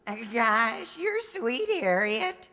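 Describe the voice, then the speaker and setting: A woman's voice: on the high side, sing-song, slow pace, sharp tone, exaggerated voice. Woman playing a gushing teenage boy in a reverberant hall. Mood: shyly romantic. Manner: gushing, naively earnest. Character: earnest, gushing, tactless teenage high school boy. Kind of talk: old-time radio comedy acting.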